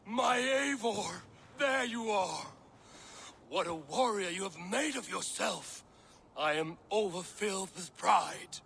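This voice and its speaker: Old Viking father, proud, effusive, high bright voice, gravelly creak, video game cutscene. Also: animated, takes it slowly, slight background noise, full character voice. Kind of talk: dramatic game cutscene acting.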